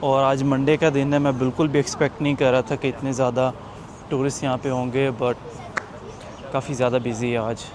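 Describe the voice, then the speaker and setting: A man's voice: medium pitch, level tone, brisk. Man, young, casual walking vlog, noisy street behind. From Pakistan. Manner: chatty, jokey, talks to viewers while walking. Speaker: travel vlogger. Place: outdoors at a busy tourist waterfront. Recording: outdoor street noise. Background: street noise and traffic.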